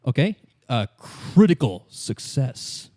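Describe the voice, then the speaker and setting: A man narrating an improvised tabletop game as game master, in a halting, conversational way. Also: medium pitch, sing-song, dark tone, dry recording, studio quality.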